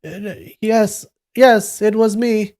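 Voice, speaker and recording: A man's voice: sits high, slow, pitched above the performer's own voice. A man voicing an anxious, flustered young man who blurts his words, in a storybook. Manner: excitable, blurting.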